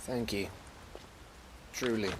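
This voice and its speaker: An actor playing a wry, rough-edged scout in a video game cutscene, talking in a colloquial, folksy way. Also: male voice, lowish voice, steady tone, slow. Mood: wry, grateful. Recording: clearly noisy background ambience. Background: ambient noise.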